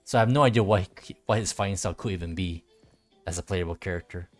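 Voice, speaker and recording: A man's voice: sits low, fast talker. A man giving laid-back, musing live commentary as he streams a game. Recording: game music behind the voice.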